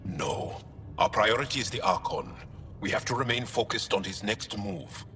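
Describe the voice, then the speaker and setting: An actor playing a serious, insistent alien crew member in a video game, speaking firmly and deliberately. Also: male voice, lowish voice, sharp tone, soft background music. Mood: firm, concerned. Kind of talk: video game cutscene acting. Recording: noisy, long-echoing room ambience.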